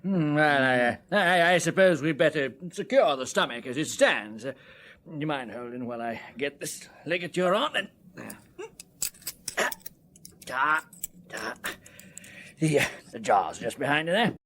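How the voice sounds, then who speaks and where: A jovial English doctor absorbed in grim work, for period radio drama. His voice is highish and bright, unhurried, full of muttered, hesitant asides. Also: animated, a little hiss or hum. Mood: absorbed, muttering. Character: brisk, jovial police pathologist relishing his gruesome work.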